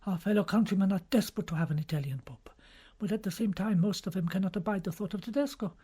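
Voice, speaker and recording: An anxious, learned older cardinal played by a male narrator confides earnestly in an audiobook drama. The voice is lifted high above a normal speaking register, with a breathy edge that sometimes drops toward a hush. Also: quick pace. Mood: urgent, persuasive. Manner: fretful, earnest, hushed at times. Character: anxious, confiding senior Italian cardinal, an intellectual older man.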